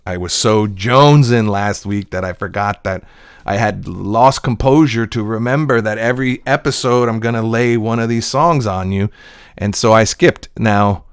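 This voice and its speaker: A man's voice: fairly low pitch, normal speed, smooth, dry recording, studio quality. A male voice-over actor talking in a casual, digressive solo monologue from his desk. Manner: digressive, reflective storytelling.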